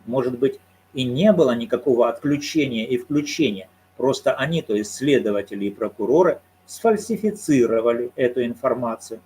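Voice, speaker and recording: A man giving solo commentary on a court case, arguing his points in a measured, deliberate way and answering his own rhetorical questions. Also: mid-range voice, animated, warm tone, a little hiss or hum, poor audio. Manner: argumentative, poses rhetorical questions and answers them.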